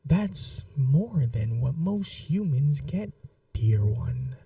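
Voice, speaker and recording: A woman playing an aristocratic, possessive vampire mistress in a teasing, quietly menacing, breathy, intimate ASMR roleplay, often dropping to a whisper. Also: a bass voice, big pitch swings, dry recording, distant mic, exaggerated voice. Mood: possessive, brooding. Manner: slow, languid, condescendingly affectionate. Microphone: close microphone, dry.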